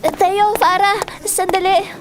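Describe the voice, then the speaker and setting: A woman's voice: very high voice, level tone, a little slow, background noise. A woman acting a sweet, cheerful teenage schoolgirl in a Tagalog audio drama. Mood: friendly, eager.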